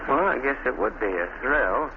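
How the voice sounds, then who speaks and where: Polite, folksy tourist, 1940s radio, halting and uneasy, narrow phone-like sound. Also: male voice, medium pitch, big pitch swings, vocal fry. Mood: eager, hesitant. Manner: hesitant, stammering. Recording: tinny, noisy old radio recording.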